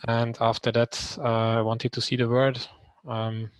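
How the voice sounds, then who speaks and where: A man from Hungary, likely in his thirties, introducing himself on a video call with many pauses and ums. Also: mid-range voice, flat delivery, clean tone, no echo.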